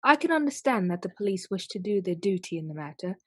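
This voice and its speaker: A woman's voice: mid-range voice, sing-song, fast talker, no echo, clean studio sound. A woman playing a proud, weary aristocratic widow in a radio play, formal and clipped. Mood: guarded.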